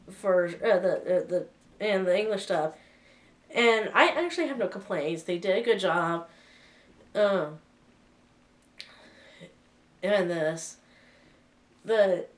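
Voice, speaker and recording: Woman vlogger with a cold, unhurried and halting, slightly distant from the mic. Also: mid-range voice. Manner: hesitant, many fillers and restarts. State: sick with a cold. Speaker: vlogger reviewing a film. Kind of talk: casual spoken review.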